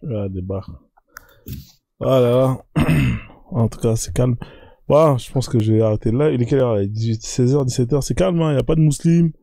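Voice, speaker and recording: A man with a warm, dark voice hosts a live stream and reads a document aloud. His talk is full of hesitations and little tag words. The sound is dry and close. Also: mid-range voice, moderate pace, no room sound. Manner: peppers speech with "hein" and "euh". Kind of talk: casual live stream chat and reading aloud.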